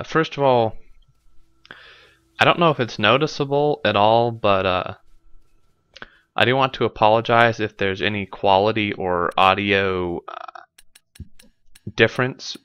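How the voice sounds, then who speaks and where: A man with a congested, stuffy nose talks his way through a building game, thinking aloud and padding with fillers. His voice sits low, yet it comes across bright and smooth on a desk mic. The recording is dry but a little dull. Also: no room sound. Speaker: let's play host. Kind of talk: casual gameplay commentary. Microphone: desk microphone.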